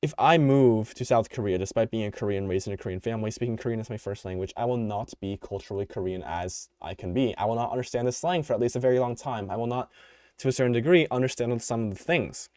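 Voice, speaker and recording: Young male podcaster, mid-pitch, rambling and opinionated, slightly worn voice, dead-dry recording. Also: fast, a little mellow, no echo. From United States. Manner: rambling, opinionated, many fillers and restarts. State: voice tiring. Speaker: podcast host. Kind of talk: unscripted solo commentary.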